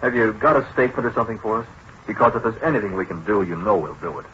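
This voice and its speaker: A man's voice: lowish voice, rapid-fire, a bit bright, dull audio, natural voice. A man playing a blunt, impatient, skeptical newspaperman in a 1940s radio drama. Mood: matter-of-fact. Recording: noisy, band-limited 1940s radio recording.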